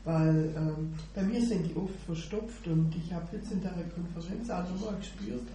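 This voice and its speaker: A woman talks at a church gathering, pausing often on "äh" as she searches for words. Her voice carries from far off across a very echoey room, with constant noise in the background. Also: on the low side, animated, dark tone. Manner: hesitant, many "äh" fillers, laughs easily. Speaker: church member sharing. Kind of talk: informal devotional sharing.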